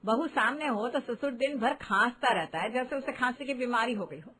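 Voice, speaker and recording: A woman's voice: a little high, normal speed, sharp tone. A woman voicing a progressive mother-in-law in a cartoon drama, lecturing others with defiant reproach. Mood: defiant, reproachful. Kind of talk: animated family drama voice acting in Hindi.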